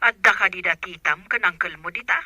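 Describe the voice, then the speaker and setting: Piercingly bright, commanding aunt. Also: female voice, fairly low pitch, brisk, no echo. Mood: resolute. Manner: urgent, instructing. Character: resolute, commanding aunt planning a rescue. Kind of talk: Ilocano radio drama acting.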